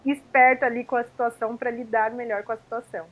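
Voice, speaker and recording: A woman guest, a psychologist, recounting her experiences in a relaxed interview. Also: a little high, quick pace, poor audio. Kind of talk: casual conversational interview.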